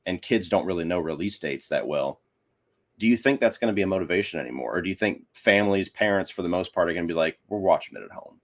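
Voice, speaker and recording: A man's voice: low voice, lively intonation, fast talker. A man talking casually about films as a guest on a podcast. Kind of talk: casual film discussion.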